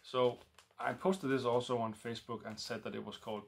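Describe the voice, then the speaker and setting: A man's voice: medium pitch, little pitch movement, a little fast, vocal fry. A man talking casually to the camera at home, hesitant and full of ums and restarts. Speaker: record collector vlogger. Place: home room lit by a lamp.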